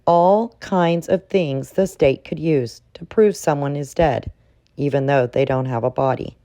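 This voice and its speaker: A woman delivering scripted commentary in a formal, measured manner. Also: deep voice, mellow, no room sound, clean studio sound.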